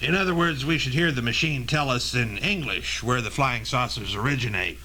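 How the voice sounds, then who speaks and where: A man playing an insistent, authoritative military general in an old-fashioned radio drama, with formal, brisk questioning. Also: mid-range voice, sharp tone, lightly acted. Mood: probing. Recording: noisy old tape with hum.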